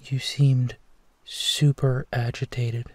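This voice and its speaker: A man acts as a tender, protective male werewolf in a comfort roleplay audio. His voice is dark, mellow and breathy, and very close and dry. He speaks slowly and softly, pausing as he reassures a frightened companion. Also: medium pitch, level tone, no room sound. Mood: soothing concern. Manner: hushed, tender, unhurried reassurance with pauses. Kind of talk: intimate sleep-aid roleplay audio, soothing.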